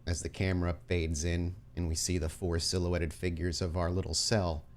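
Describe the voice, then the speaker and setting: A man running a tabletop roleplaying game as game master, telling the story in a dramatic, deliberate way with pauses. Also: deep voice, little pitch movement, a little hiss or hum.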